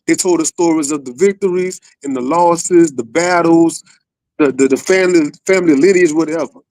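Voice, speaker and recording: Guy hosting a podcast, slightly high voice, very dry sound, slangy and casual, talks to you like family. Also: normal speed, a little mellow, no room sound, rough recording. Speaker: podcast host. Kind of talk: casual interview with upbeat hype intro.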